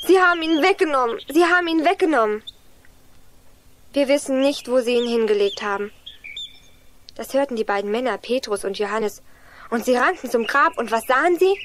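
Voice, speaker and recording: Earnest young girl, high voice. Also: lively intonation, moderate pace, long pauses, a little hiss or hum. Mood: earnest storytelling. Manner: eager, explanatory storytelling. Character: Juliane, earnest young girl lost in forest. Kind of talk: 1980s children's radio play acting.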